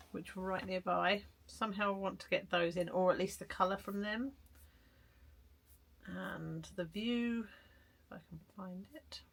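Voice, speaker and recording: A woman chatting casually in an art vlog, hoarse and croaky from a cold, rambling and self-deprecating. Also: mid-range voice. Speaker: vlogger. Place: garden shed studio.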